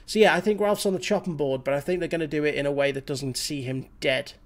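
A man's voice: fairly high pitch, steady tone, fast talker. A young man with a slightly scratchy voice from a cold, giving opinionated reaction commentary to camera over a headset mic in a small home room. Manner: opinionated, talks straight to camera with fillers. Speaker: reaction channel host.

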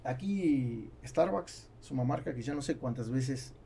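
A man's voice: mid-range voice, expressive, moderate pace, gravelly, mellow, a little hiss or hum. A man in his forties with an Argentine Spanish accent, a native Spanish speaker who mixes Spanish words into casual podcast talk. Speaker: guest entrepreneur. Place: small foam-padded indoor podcast studio. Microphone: studio mic on boom arm.

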